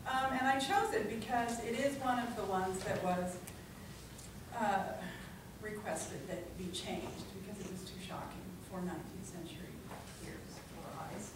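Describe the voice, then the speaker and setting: Middle-aged woman, public speaker and reader, expressive phrasing, distant microphone, clear background noise. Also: mid-range voice, moderate pace, heavy reverb. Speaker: librarian and professor reading at an event. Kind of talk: informal public talk and poetry reading. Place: library meeting room with seated audience. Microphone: distant camera.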